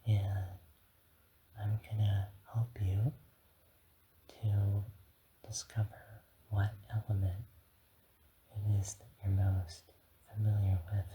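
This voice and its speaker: A man playing a gentle, encouraging dragon guide in a whispered ASMR guided meditation, speaking haltingly and soothingly. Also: on the low side, completely flat delivery, muffled recording, slight background noise. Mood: calm, welcoming.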